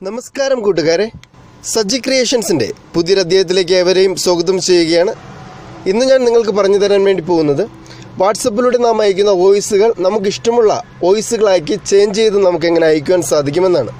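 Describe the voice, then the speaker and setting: High-pitched, quick-talking man. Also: a little mellow, slight background noise. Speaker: tech tutorial host. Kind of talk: explanatory screen-recording tutorial narration.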